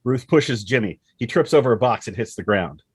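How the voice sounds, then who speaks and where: A man's voice: medium pitch, expressive, fairly quick, slightly rough, no room sound, studio quality. A man reading scripted screenplay action and scene headings as the narrator.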